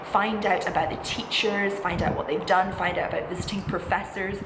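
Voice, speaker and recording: A woman's voice: medium pitch, steady tone, normal speed. A woman in her twenties, a student vlogger, giving chatty, encouraging, emphatic advice straight to viewers in a casual style. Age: 20s. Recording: echoey room with clear background noise.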